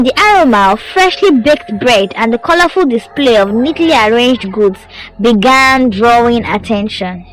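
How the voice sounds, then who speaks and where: Female folktale narrator, higher-pitched and very expressive, building suspense dramatically over background music. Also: poor audio. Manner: dramatic, suspenseful storytelling delivery. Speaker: storyteller. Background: music under the voice.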